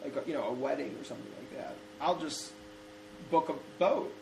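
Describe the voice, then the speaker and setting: A man hosting a casual chat, posing hypothetical questions with many halting restarts. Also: a little high, vocal fry, echoey room, noisy background. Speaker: host asking hypothetical questions.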